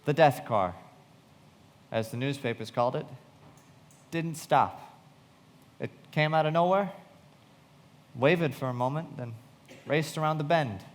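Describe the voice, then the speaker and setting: A man playing a sombre narrator recounting a tragedy in a radio play, with measured, solemn delivery. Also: fairly high pitch, lightly acted. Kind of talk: dramatic scripted narration. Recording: reverberant hall.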